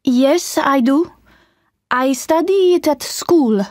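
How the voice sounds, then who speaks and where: A young woman from Italy playing a student in a speaking test, giving slow, careful answers. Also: high-pitched, a little mellow, no room sound, clean studio sound. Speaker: exam candidate. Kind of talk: scripted exam answers.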